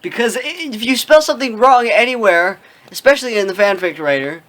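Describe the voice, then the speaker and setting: A woman's voice: a little high, expressive, a little fast, fairly bright tone. A woman co-hosting in casual, joking chat, commenting in a dry, nitpicking way. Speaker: co-host commenting on a read-aloud.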